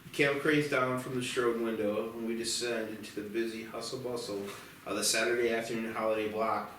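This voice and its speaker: A man's voice: mid-range voice, steady tone, fairly quick, fairly bright tone. A man reading out screenplay stage directions as narrator at a table read. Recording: echoey room, distant mic, faint noise.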